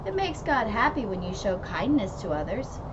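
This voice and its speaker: A woman voicing a gentle, loving father, speaking softly and reassuringly in a lowered voice. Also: very animated, normal speed, close to an everyday voice. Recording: muffled, with loud background noise.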